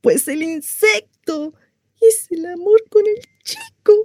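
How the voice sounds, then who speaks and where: An actress as a bright-sliding, confiding housewife in dry sound. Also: female voice, extremely high pitch, slow pace, no room sound. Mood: coyly confessional. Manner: confessional, rambling, self-interrupting, dramatic. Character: confiding, mistreated housewife, chatty, naive, wryly comic. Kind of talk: theatrical comic monologue addressed to a listener.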